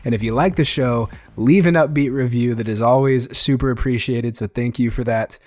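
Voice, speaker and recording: A young man hosting his own podcast, talking casually and eagerly with a slightly hoarse, tired voice. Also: medium pitch, steady tone, moderate pace, darkish tone, no echo, soft background music. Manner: chatty, enthusiastic, frequent fillers. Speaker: podcast host. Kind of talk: casual solo podcast monologue.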